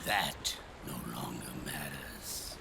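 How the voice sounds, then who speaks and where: Gravelly, smug game villain. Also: male voice, extremely low pitch, lively intonation, noisy background, heavily acted. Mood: gloating menace. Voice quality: gravelly, breathy drawl. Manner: slow, taunting, theatrical. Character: smug, theatrical older male villain, gloating and menacing. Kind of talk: dramatic video game cutscene acting.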